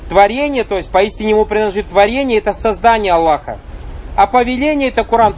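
A man with a fairly high voice teaches a religious lesson in a calm, measured way. He explains each point patiently, pauses for rhetorical questions to his listeners, and recites short phrases. A faint hum of noise sits behind him. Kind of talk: religious lecture.

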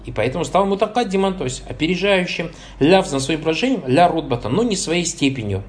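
A man's voice: on the high side, very animated, a little fast. A man teaching Arabic grammar, reading lines from a text, translating them and asking his listeners short check questions as he goes. He drops blessing formulas between points and corrects his own slips.

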